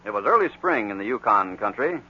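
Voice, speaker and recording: A man's voice: on the low side, big pitch swings, fairly quick, pushed and bright, husky. A man narrating a 1940s radio adventure serial in a dramatic, emphatic style on an old muffled recording.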